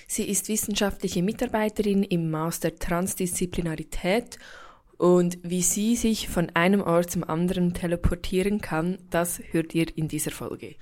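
A woman's voice: fairly low pitch, fairly even intonation, normal speed, a little mellow. A woman reading a friendly, upbeat scripted introduction to a podcast episode as its host.

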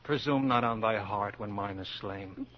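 An actor playing an ardent middle-aged college professor with earnest, emphatic delivery, in 1940s radio drama style. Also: male voice, lowish voice, normal speed, natural voice. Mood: urgent, pleading. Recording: muffled, noisy 1940s radio recording.

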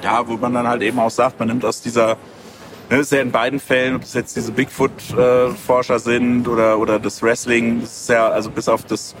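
A man's voice: mid-range voice, little pitch movement, a little fast. Man rambling casually over noisy street-like background. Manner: rambling, thinking aloud in loose chains of clauses. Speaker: comic creator being interviewed. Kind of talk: casual interview talk.

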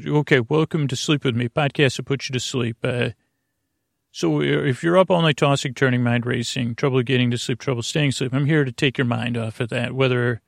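Creaky-voiced man, rambling and soothing. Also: medium pitch, dry recording, studio quality. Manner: meandering, halting, digressive, with repeated false starts; soothing, lulling. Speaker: podcast host. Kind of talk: rambling bedtime podcast monologue to lull listeners to sleep.

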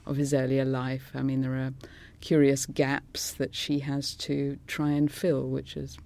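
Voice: mid-range voice, monotone, unhurried, gravelly, darkish tone. A middle-aged author with a polished English accent and Scots as a first language, answering interview questions thoughtfully with small laughs. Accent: English, trained by elocution lessons. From England. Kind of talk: reflective conversational interview answers.

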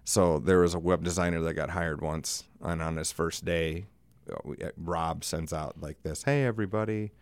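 A man's voice: low-pitched, fairly even intonation, moderate pace, no echo. A middle-aged man hosting a podcast, chatting casually and comedically with a teasing, profane streak. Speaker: podcast host.

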